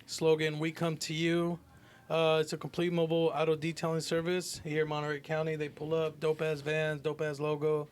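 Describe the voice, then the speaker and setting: A man's voice: fairly high pitch, monotone, moderate pace, clean tone. A man co-hosting a podcast, talking in casual slang, as in chat or sponsor plugs. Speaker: podcast co-host.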